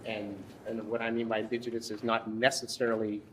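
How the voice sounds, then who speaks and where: A man's voice: on the low side, flat delivery, fairly quick, slight background noise, rough recording. A man teaching at a forestry workshop, talking in a hesitant way, often restarting and correcting himself. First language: English. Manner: hesitant, many restarts and fillers, self-correcting. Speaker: forestry instructor presenting at a workshop. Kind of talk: workshop presentation. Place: workshop room.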